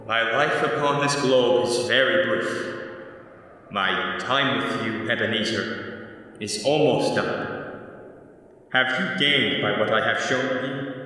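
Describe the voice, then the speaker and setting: Solemn, slow spirit in deep echo, voiced by a man. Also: bright, forceful tone. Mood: weary, solemn. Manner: solemn, declamatory. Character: solemn, stern aging Christmas spirit guiding a miser. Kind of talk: old-time radio play acting. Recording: very echoey, long hall reverb.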